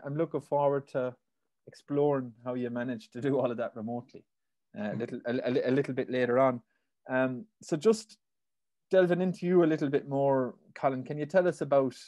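A man's voice: mid-range voice, a little mellow. A man, hosting a casual interview over a video call, often repeating words as he asks. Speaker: host. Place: home room. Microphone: video call with earbuds.

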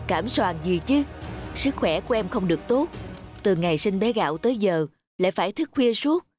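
A man voicing a devoted, gentle young husband and new father in a cartoon, with a tender, solicitous manner. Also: high-pitched, animated, normal speed, soft background music. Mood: concerned. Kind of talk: cartoon voice acting.

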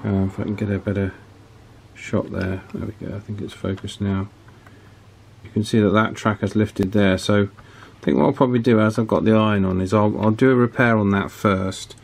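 A man's voice: fairly low pitch, fairly even intonation, moderate pace, vocal fry, darkish tone. A man giving an informal hands-on demonstration, hesitant and thinking aloud as he works. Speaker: radio repair hobbyist presenting. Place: indoor electronics workbench.

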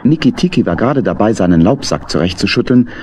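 Guy narrating a kids audio story, deep husky voice, very animated intonation. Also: fast talker, a little hiss or hum. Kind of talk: scripted children's story narration.

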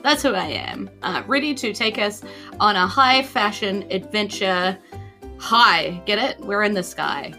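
A woman's voice: medium pitch, animated, a little slow, sharp tone, faint music behind. A woman with a New Zealand accent, narrating a tabletop game off the cuff as dungeon master.

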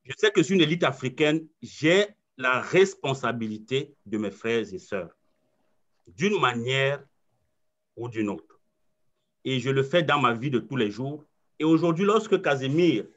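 A man in his forties, originally from Cameroon, speaks as a coach opening an online training session. His voice sits at a middle pitch and comes across earnest and sure of itself, with frequent repeated words. The sound is a slightly muffled video call. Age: 40s. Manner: earnest, self-assured, repeats words while thinking. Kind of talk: webinar presentation.

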